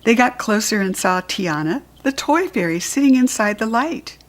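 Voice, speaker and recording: A woman reading a children's storybook aloud, clearly and expressively. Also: on the high side, expressive, moderate pace. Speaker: storybook reader.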